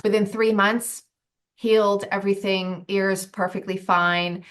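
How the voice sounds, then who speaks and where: A woman's voice: mid-range voice, fairly even intonation, unhurried, fairly bright tone. A woman in her forties talking as a nutritionist guest in a casual interview, thoughtful with frequent ums and restarts. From United States.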